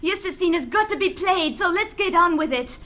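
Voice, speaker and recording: An actress playing a bold, bitter young stepdaughter in a stage drama, impatient, mocking and passionately theatrical. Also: female voice, very high voice, animated, moderate pace, sharp tone, exaggerated voice. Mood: impatient, commanding.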